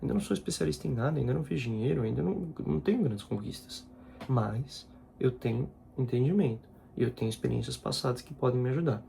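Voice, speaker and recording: Young guy with a gravelly voice. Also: mid-range voice, fast talker, warm tone, a little hiss or hum. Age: 20s. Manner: informal, repeats himself, slangy asides. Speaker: content creator. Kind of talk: casual personal vlog talk.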